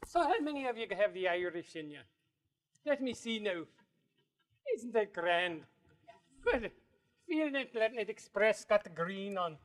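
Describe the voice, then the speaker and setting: Male presenter, big voice, playful and laughing, warming up a live crowd. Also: high-pitched, sing-song, moderate pace, long pauses. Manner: playful, laughs often, builds energy. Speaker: spiritual workshop presenter. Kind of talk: lively talk to a live audience. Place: bookstore event room.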